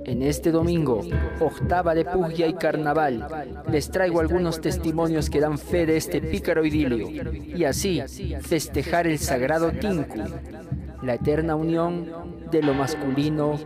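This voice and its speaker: Male radio presenter, Cusco accent, slightly high voice, poetic scripted read over prominent music. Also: steady tone, measured pace. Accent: Cusco Peruvian. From Cusco, Peru. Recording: loud music under the voice. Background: loud music.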